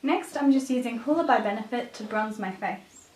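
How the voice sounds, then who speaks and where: A woman with a raspy voice, a bit sick with a cough, presenting a makeup tutorial as a beauty vlogger. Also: a little high, normal speed, a little mellow, faint music behind. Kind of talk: scripted makeup tutorial narration. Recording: roomy echo, distant microphone.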